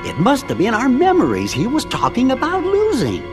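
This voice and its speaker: A man's voice: very high-pitched, moderate pace, exaggerated voice. A man voicing a tiny, fussy, genteel male cartoon cricket who keeps records, in a video game. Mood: pensive. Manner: precise, genteel, expressive. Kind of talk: video game cutscene voice acting. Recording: long hall reverb, music behind.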